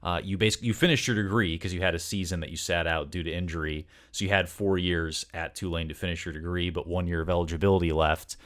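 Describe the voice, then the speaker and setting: A man with a low, deep voice hosts a sports interview podcast. He speaks conversationally and at length, building up wordy questions piece by piece. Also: steady tone, fast talker, few pauses, fairly bright tone. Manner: wordy, long multi-part questions.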